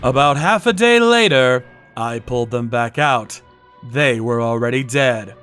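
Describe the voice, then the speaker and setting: Male narrator for dark manga dub: bright, forceful, slow and menacing, music underneath. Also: mid-range voice, animated, clear, resonant tone. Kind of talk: dramatic scripted first-person story narration.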